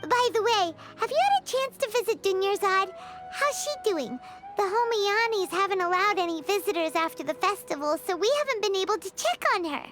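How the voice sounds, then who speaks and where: Game fairy sidekick: extremely high, childlike, squeaky, exclamatory, swooping intonation, music behind. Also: female voice, expressive, a little fast. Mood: earnest, concerned. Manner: refers to self in third person, exclamatory. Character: tiny excitable floating fairy girl companion. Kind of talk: video game character dialogue.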